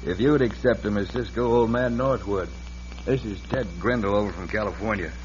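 An American actor playing a scheming, ruthless frontier land agent with a folksy drawl in an old western radio drama. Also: male voice, fairly low pitch, moderate pace, muffled recording, natural voice. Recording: band-limited, noisy old radio recording.

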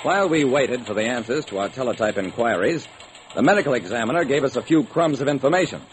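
A man's voice: medium pitch, animated, fast, lightly acted. An actor playing a hard-boiled private detective, narrating his case with dramatic, wry deliberation in old-time radio style. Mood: brisk, businesslike. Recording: noisy old radio recording.

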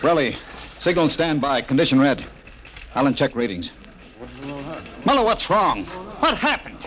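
An actor playing a hard, callous military general, brusque and commanding, in 1950s-style radio drama. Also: male voice, mid-range voice, wildly swooping pitch, unhurried, fairly bright tone, slight background noise, low-quality recording. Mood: incredulous, angry.

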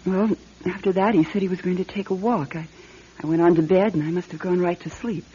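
An actress playing a gentle, anxious, polite wife in a radio drama, speaking in a conciliatory, hesitant way. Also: female voice, lowish voice, steady tone, a little fast, slightly rough. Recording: dull lo-fi radio recording.

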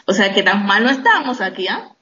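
A woman with a Dominican accent, a guest on a podcast, chatting casually, animated and quick to laugh. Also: mid-range voice, a crowd in the background. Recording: dull lo-fi sound with faint noise.

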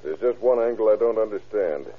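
An actor playing a commanding, shrewd American police captain with a brusque interrogator's manner in an old-time radio crime drama. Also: male voice, on the low side, expressive, brisk. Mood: pressing. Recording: tinny, rough old radio recording.

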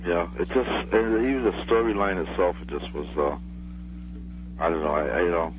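A man's voice: low voice, lively intonation, fairly quick. A middle-aged man calling in to a radio show, chatting casually in a hesitant, rambling way. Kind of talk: casual chat. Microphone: telephone. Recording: dull phone call with faint hum.

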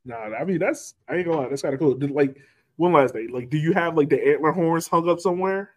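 A man's voice: fairly high pitch, fast, a little mellow, no room sound. A man hosting a podcast, asking questions in an informal, slangy way. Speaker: podcast host, interviewer. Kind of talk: casual chat interview.

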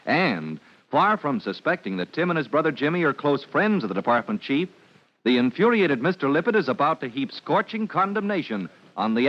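A man's voice: on the high side, a bit bright. A man announcing an old-time radio serial, reading scripted narration in a dramatic, emphatic manner. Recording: dull vintage radio recording.

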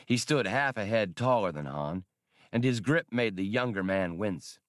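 A man's voice: on the low side, animated, normal speed, fairly bright tone, no room sound, clean studio sound. A man reading adventure fiction aloud as a scripted audiobook narration.

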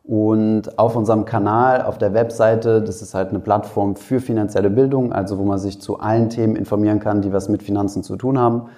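A man's voice: fairly low pitch, fairly even intonation, fairly quick, hardly any pauses, warm tone. A young man introducing himself on a school panel, talking casually and hesitantly with many fillers. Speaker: panelist, finance YouTuber.

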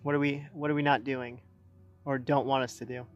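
A man's voice: medium pitch, level tone, a little fast, highs cut off, faint music behind. A man talking casually outdoors during a ghost hunt. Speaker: paranormal investigator. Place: outdoors in a park.

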